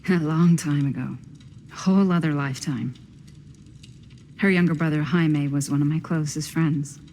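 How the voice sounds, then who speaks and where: An actress playing a composed, probing middle-aged woman in a video game, measured and dryly serious. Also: low voice, steady tone, warm tone, a little hiss or hum. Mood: gentle, regretful. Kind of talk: naturalistic video game acting.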